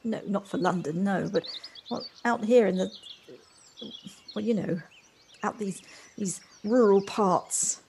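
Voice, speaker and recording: A woman's voice: mid-range voice, slow, creaky, long pauses, a little mellow. A woman acting in an audio play as an anxious, polite newcomer to the countryside, speaking in hesitant, formal, wordy sentences. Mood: puzzled. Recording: home recording on personal device, noisy.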